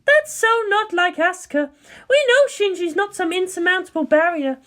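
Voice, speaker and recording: A woman's voice: extremely high pitch, normal speed, fairly bright tone, voice pushed up. A woman voicing an anxious female military captain in a story, worried, informal and emphatic. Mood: worried, insistent.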